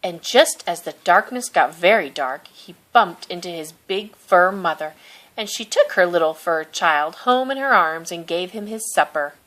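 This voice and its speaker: A woman reading a children's picture book aloud in a gentle, expressive storytelling manner. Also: on the low side, normal speed. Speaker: storybook reader.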